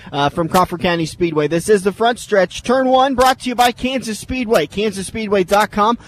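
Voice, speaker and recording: A man's voice: high voice, a little hiss or hum, rough recording. A man hosting a sports radio show, chatting casually and jokingly, rambling between interviews and sponsor reads.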